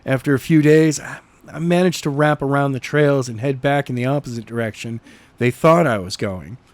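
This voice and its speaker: A man's voice: mid-range voice, normal speed, no echo. A man working as an amateur narrator and podcast co-host, reading a novel and chatting casually about it.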